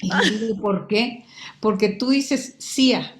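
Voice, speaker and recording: An older woman from Guatemala who speaks with a Mexican accent, a guest telling lively stories in a casual interview. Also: medium pitch, slow, reverberant, slight background noise. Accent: Mexican Spanish. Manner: animated storyteller, picks up accents.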